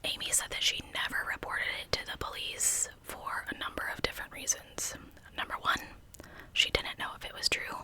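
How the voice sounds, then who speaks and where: A woman whispering a true crime story in ASMR style as a channel host, with conversational asides to listeners. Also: normal speed.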